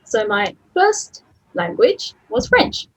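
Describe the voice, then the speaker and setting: A middle-aged woman giving a chatty, lively presentation to colleagues. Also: very high voice, slow pace, vocal fry, poor audio. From Australia. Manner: lively and chatty, laughs easily. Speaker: conference speaker.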